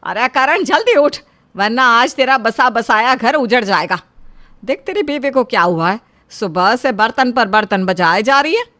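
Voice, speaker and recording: A woman's voice: fairly high pitch, animated, moderate pace, fairly bright tone. A woman voicing an anxious middle-aged mother-in-law, nagging and urgent, in a cartoon story. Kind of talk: Hindi cartoon story acting.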